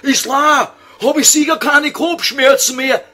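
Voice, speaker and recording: Very high-pitched grumpy Viennese old scholar. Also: male voice, fairly even intonation, pushed and bright, faint music behind, exaggerated voice. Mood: impatient, angry. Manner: slow, whining, exasperated. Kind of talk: comic radio play sketch.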